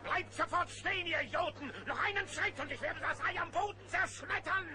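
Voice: very high voice, brisk. Jemand spielt einen hämischen, theatralisch drohenden Schurken in einem Kinderhörspiel, der den Thron an sich reißen will. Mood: gloating threats. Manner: gloating, bellowing theatrical threats. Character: gloating villain plotting to seize the throne. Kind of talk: children's cartoon audio drama. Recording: noisy old cassette recording.

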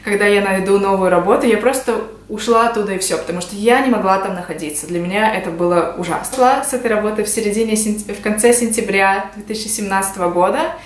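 Female vlogger, 20s, from Russia. Conversational to-camera explainer at mid pitch, with audible room echo. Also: quick pace, few pauses. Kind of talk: casual explanatory vlog to camera. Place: small indoor room at home. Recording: echoey home room.

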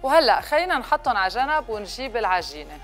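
A woman's voice: on the high side, big pitch swings, quick pace, a bit bright. A woman in her thirties with a Lebanese accent, presenting a recipe to camera and mixing in some English words. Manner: mixes English words into speech. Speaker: cooking show host. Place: kitchen set, indoors. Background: music.

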